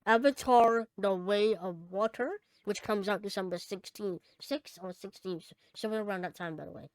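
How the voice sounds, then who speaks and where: A woman co-hosting a casual podcast, chatting and reading out news items, somewhat halting when she reads aloud. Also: mid-range voice, a little mellow, no room sound, low-quality recording. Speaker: podcast co-host.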